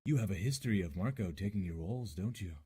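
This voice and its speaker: Male actor as 1940s radio detective, husky and rough, low mellow voice, blunt, dogged, world-weary. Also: lively intonation, fast, full character voice. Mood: pressing. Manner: blunt, pressing interrogator. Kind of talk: 1940s radio drama acting.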